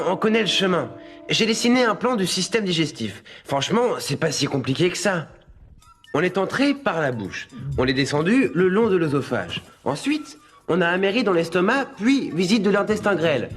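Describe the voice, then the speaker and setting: A man voicing a methodical, slightly condescending know-it-all schoolboy in an animated cartoon, explaining things smugly. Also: high voice, normal speed, soft background music.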